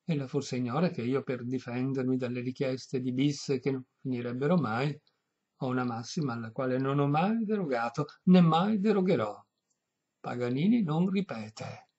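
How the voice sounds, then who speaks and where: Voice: medium pitch, darkish tone, breathy edge, dull audio. A narrator playing a famous male violinist in a comic story, courtly but exasperated, pompous and emphatic. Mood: courteous firmness. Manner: pompous, emphatic, drawn-out delivery.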